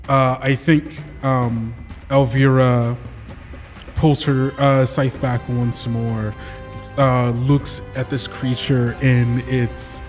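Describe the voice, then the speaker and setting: A man's voice: medium pitch, darkish tone, in a large hall. A man playing a tabletop roleplaying game, narrating his character's actions.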